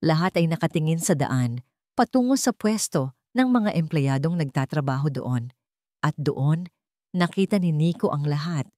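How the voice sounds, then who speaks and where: Low-voiced woman narrating a dramatic story. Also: animated, moderate pace, dark tone, no room sound, studio quality.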